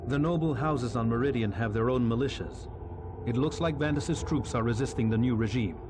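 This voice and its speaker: A man's voice: medium pitch, a little fast, lightly acted. Formal soldier played by a man, noisy background. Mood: briefing. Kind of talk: dramatic video game acting.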